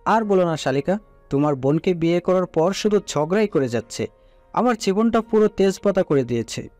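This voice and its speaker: A man's voice: sits high, normal speed. A man voicing a playful young husband who jokes and bickers with his wife in an audio story. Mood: teasing. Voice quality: joking, lively banter. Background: soft music.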